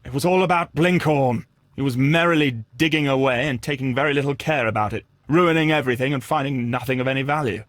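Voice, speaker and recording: An actor playing a guarded, disdainful Victorian gentleman in a video game, answering formally and dismissively. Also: mid-range voice, fast talker, no room sound, studio quality, lightly acted. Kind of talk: scripted video game voice acting.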